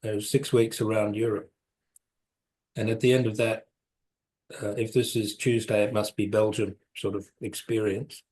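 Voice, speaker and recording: A man's voice: on the low side, little pitch movement, normal speed, darkish tone. A man, telling his life story as an interview guest, rambling and correcting himself with light chuckles. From South Africa, raised in New Zealand. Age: 70s.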